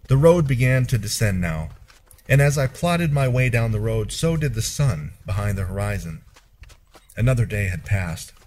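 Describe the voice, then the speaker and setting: A man narrating a first-person fantasy story in a measured, expressive storyteller's delivery. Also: lowish voice.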